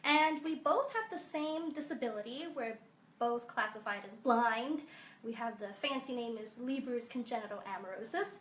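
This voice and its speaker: A young woman from Hong Kong giving measured, explanatory interview answers. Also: a little high, fairly bright tone, reverberant, a little hiss or hum. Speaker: guest.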